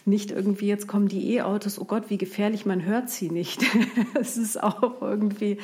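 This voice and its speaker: A woman's voice: mid-range voice, steady tone, moderate pace, dark tone. A woman from Bavaria interviewing a guest in a casual chat, playful and teasing, laughing easily.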